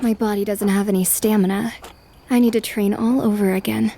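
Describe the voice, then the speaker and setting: A woman's voice: mid-range voice, fairly even intonation, a little fast, vocal fry, dark tone. A woman playing a composed, determined young noblewoman in an anime dub, speaking politely and reflectively. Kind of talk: anime dub acting with inner narration.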